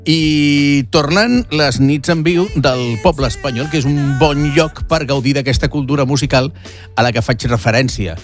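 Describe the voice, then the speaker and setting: A man's voice: mid-range voice, measured pace, faint music behind. A man with a Catalan accent hosting an interview in a chatty, informal, conversational way. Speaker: radio host interviewing a musician. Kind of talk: conversational interview and event promotion.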